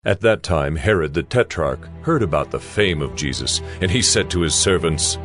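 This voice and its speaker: A man narrating scripture in a solemn, measured, scripted style for a dramatized audio Bible. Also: low-pitched, animated. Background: music.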